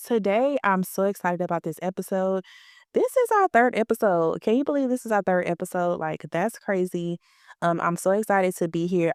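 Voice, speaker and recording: A woman's voice: fairly low pitch, a little mellow, smooth, no echo, studio quality. A woman in her early thirties hosting a motivational podcast, talking in a chatty, emphatic, slangy way, a little stuffy from a cold. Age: 30s. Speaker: podcast host. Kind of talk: casual motivational podcast talk.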